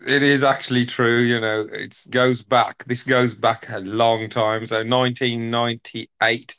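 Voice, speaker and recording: Brief: football fan guest, easygoing anecdote, mid pitch, dull lo-fi call sound. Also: male voice, a little slow. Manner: anecdotal, relaxed storyteller. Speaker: guest pundit. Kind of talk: casual chat.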